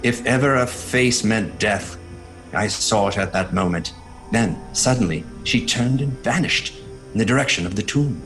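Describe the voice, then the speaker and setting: An actor playing a sceptical, fearful doctor in a gothic audio drama, speaking in an earnest, dramatic way. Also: male voice, fairly low pitch, moderate pace, fairly bright tone, low-quality recording. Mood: horrified. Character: haunted male doctor, sceptical and fearful, recounting horrors. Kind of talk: gothic audio drama diary narration and dialogue. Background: music.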